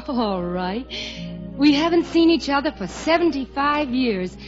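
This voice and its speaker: A woman's voice: sits high, expressive, a little slow. Dreamy young woman, vintage radio romance. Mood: playful, coy. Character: romantic young Southern showboat captain's daughter, dreamy, playful. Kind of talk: 1950s radio musical acting. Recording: muffled 1950 radio broadcast, orchestral music.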